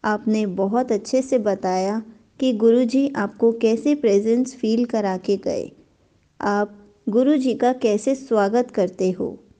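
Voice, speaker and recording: A female host speaks slowly and gently, thanking her guest. Her voice is slightly high with a mellow warmth. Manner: gentle and appreciative. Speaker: channel host.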